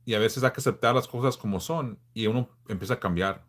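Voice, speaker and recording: A man in his forties from Southern California, hosting a casual livestream and answering viewers in a frank, laid-back way with halting restarts. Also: on the low side, fairly bright tone. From Los Angeles, California. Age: 40s. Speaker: livestream host.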